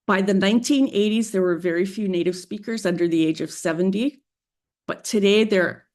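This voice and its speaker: A woman in her fifties, a native English speaker, giving a measured classroom presentation as a guest speaker, with frequent pauses and restarts. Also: mid-range voice, a little mellow. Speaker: guest speaker, Hawaiian language specialist.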